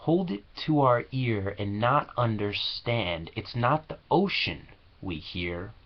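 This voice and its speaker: Expressive male slam poet, muffled recording. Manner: earnest, deliberate, dramatic phrasing. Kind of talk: slam poetry recitation. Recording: muffled, low-quality home recording.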